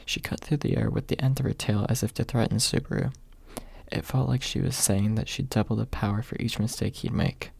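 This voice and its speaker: A man narrating an ASMR audiobook in a hushed, husky voice, close to the microphone. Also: on the low side, steady tone, brisk, no room sound. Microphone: close microphone, dry.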